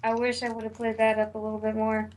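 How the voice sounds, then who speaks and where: A woman talking casually among friends in a relaxed group chat. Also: fairly high pitch, fast talker, slight background noise. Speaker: voice actor in radio play readings.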